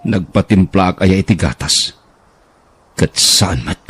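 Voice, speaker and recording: A man with an Ilocano accent acting a troubled, stubborn husband in a radio drama, with a husky voice. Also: deep voice, unhurried, a bit bright, exaggerated voice. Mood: subdued. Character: troubled, stubborn married man, frustrated and wary.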